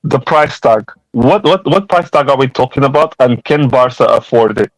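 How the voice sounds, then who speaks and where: A man's voice: medium pitch, normal speed, dry recording, poor audio. A man in a casual football podcast debate, talking with many fillers and restarts.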